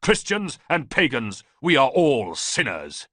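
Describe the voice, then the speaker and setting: A man voicing a bearded, fanatical male priest who chants prophecy in a booming voice. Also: huge pitch swings, slow pace, sharp tone, dry recording, studio quality, heavily acted. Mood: calm zealous.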